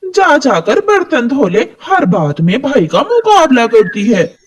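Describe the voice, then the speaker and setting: A man's voice: extremely high pitch, huge pitch swings, takes it slowly, breathy edge, voice pushed up, full character voice. A man voicing a harsh, bossy cartoon mother crow who favours her son and scolds. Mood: scolding. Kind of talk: cartoon moral story voice.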